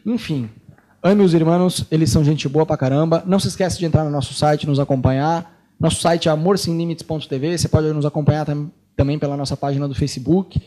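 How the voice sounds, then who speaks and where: Fast, high-voiced Brazilian man. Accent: Brazilian Portuguese. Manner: animated, emphatic, laughs often. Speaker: missionary host. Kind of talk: casual talk show.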